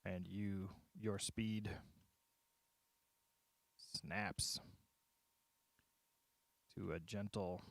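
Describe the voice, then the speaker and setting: A man on a casual livestream, thinking aloud haltingly as he drafts a script, muttering and repeating his phrases. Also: low-pitched, fairly even intonation, gravelly, no room sound. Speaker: streamer writing a visual novel.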